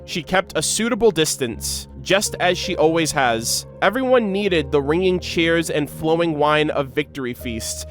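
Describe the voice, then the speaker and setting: A man with a fairly high voice talks as the host of a game reaction video. He moves between commentary and reading lore aloud, with music playing behind him. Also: expressive, measured pace, hardly any pauses, fairly bright tone.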